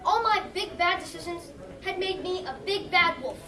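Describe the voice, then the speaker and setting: A very high, theatrical storybook wolf, earnest and apologetic played by a woman. The stage comedy voice is heard far from the mic in an echoey hall with an audience. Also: normal speed, crowd noise. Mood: confessional. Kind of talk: youth stage comedy acting.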